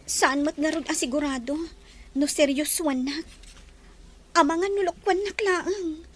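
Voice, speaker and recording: A woman's voice: high voice, fairly even intonation, unhurried. An actress playing a shy, humble young working woman in a radio drama, hesitant and deferential. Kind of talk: Ilocano radio drama acting.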